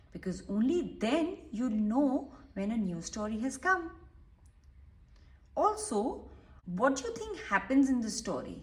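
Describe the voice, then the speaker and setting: Woman, children's read-aloud host, slow pace, explains and questions, distant echoey room recording. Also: high-pitched. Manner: explains and questions young listeners directly. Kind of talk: expressive read-aloud storytelling for young children.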